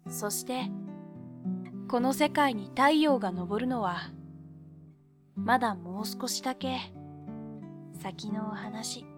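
Woman as an earnest boy, slow narration. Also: on the high side, music in the background. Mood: reflective. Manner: boyish, wistful, measured. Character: earnest, determined young boy recounting his own tale. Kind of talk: scripted first-person drama narration.

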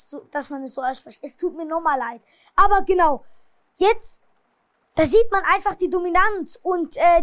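A woman's voice: very high-pitched, a bit bright, no room sound, clean studio sound. A woman casually recapping football matches and transfer rumours, hesitating a lot and apologizing for her pronunciation. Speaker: football news vlogger.

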